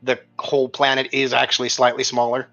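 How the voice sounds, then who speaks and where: A man of middling pitch runs a tabletop game in casual talk, narrating and checking in with players. The sound is somewhat dull, with music underneath. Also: monotone, brisk, vocal fry, bright, forceful tone. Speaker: game master.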